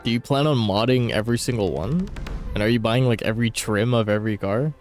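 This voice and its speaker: A male game streamer chats off the cuff in loose, slangy asides. His voice sits at an ordinary pitch. Faint music plays in the background. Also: fast talker, a little mellow. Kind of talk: casual off-the-cuff chat while gaming.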